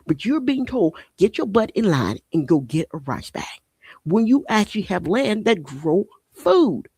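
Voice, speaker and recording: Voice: mid-range voice, very animated, a little slow, creaky, a little mellow, husky, dry recording, clean studio sound. A podcast host in their forties, originally from Haiti, delivering an impassioned monologue full of rhetorical questions and repeated phrases.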